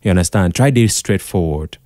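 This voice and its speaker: A Nigerian man talking casually as an interview guest, expressive, slipping into Pidgin and repeating phrases for emphasis. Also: sits low, a little fast, dry recording, clean studio sound. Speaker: podcast guest, radio drama producer.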